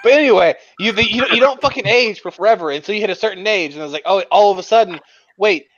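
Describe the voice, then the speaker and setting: A man's voice: sits high, fast, a bit bright, highs cut off, a little hiss or hum. A man in his late twenties, swearing and rambling in casual podcast chat. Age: 20s. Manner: crude, swears a lot, rambling. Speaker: podcast co-host.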